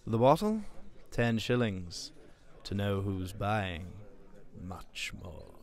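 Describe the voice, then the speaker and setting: A slow-talking villain of radio drama. He is a greedy backstreet weapons dealer, sly and theatrical, who drags out his threats and sales talk alike. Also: on the low side, lively intonation, darkish tone. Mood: sly, leering. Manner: sly, theatrical, mangles plurals.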